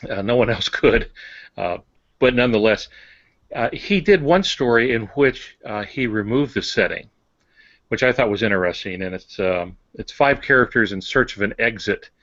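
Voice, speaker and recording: A man's voice: on the low side, moderate pace, fairly bright tone, dry recording, highs cut off. An older American man co-hosting a writing podcast, casually explaining with examples and asides. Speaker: podcast co-host on fiction writing. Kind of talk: casual instructive discussion.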